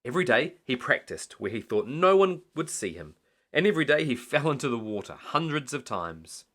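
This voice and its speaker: A man reading a children's bedtime story aloud in an animated storytelling style. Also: medium pitch, normal speed.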